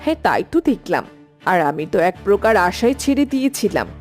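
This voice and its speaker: A woman's voice: medium pitch, big pitch swings, quick pace, faint music behind, natural voice. A woman voicing a stern, regal queen mother in a cartoon, speaking in a formal, authoritative manner. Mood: relieved.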